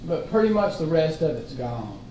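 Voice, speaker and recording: A man presenting a school budget at a board meeting, explaining slowly with many fillers. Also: a little high, sing-song, lo-fi sound. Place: large meeting room. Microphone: distant room mic. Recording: echoey room, far from mic, room noise.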